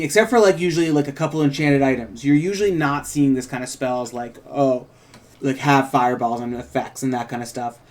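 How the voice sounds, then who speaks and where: A man with a moderately high voice chats as a writer and host. He thinks aloud, rambling casually through creative ideas in loosely joined phrases. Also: darkish tone. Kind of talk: casual creative discussion and script narration.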